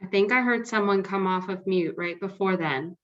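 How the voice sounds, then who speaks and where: A woman's voice: mid-range voice, normal speed, a bit bright. A woman hosting an online meeting, upbeat and coaxing people to speak up. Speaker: meeting host. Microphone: video call. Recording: dull lo-fi call audio.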